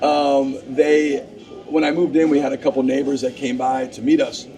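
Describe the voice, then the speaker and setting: A man in his fifties, a guest coach in a casual interview at a deli table, chatty and quick to repeat himself as he tells stories. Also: mid-range voice, flat delivery, mellow, faint music behind, poor audio. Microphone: table mic. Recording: diner chatter in the background. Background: busy deli chatter.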